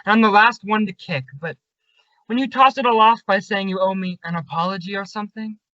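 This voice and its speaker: A resentful, wounded young man acted by a man in a vintage-style radio drama. He is terse and slangy, with a bright, forceful edge. The sound is dry and slightly muffled. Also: high-pitched, fairly quick, no room sound. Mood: bitter. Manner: curt, sardonic, slangy. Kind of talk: old-time radio suspense acting. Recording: slightly dull, muffled audio.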